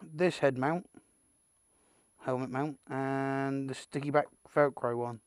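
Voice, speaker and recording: Casting: British man, mid-pitched, amateur vlogger talking through an unboxing off the cuff, rambling and a bit sweary, dry close sound. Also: fairly even intonation, slow, clean tone, no room sound. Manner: rambling, muttering asides to himself, mildly sweary. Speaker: amateur video creator presenting an unboxing. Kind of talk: casual unscripted product unboxing chat.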